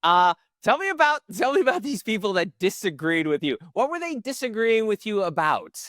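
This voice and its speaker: A man's voice: high-pitched, sing-song, fairly quick, fairly bright tone, no echo, studio quality. A man hosting a podcast interview, asking long questions that he rephrases as he goes. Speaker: podcast host.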